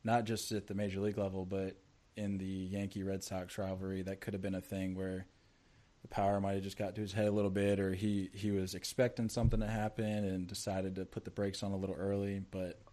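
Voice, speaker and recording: A man co-hosting a casual sports podcast, teasing his co-host and getting animated. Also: sits low, a little fast.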